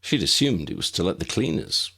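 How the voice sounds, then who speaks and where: A man's voice: low-pitched, big pitch swings, a little fast, vocal fry, bright, forceful tone, slightly rough. A man narrating an audiobook story in a scripted storytelling style.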